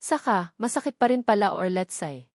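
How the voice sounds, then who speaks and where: Female audiobook narrator for first-person fiction, mid-range pitch, dry recording. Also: animated, moderate pace, a little mellow, no echo.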